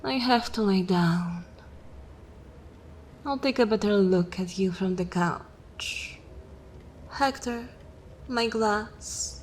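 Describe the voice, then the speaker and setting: Woman acting a slow, whispering vampire mistress. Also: medium pitch, slight background noise, close to an everyday voice. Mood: languid, then bored, commanding. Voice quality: breathy whisper. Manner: slow, commanding, theatrical, drawn-out phrases. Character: seductive, cruel, jaded vampire mistress taunting her human prey. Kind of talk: ASMR roleplay, dark fantasy.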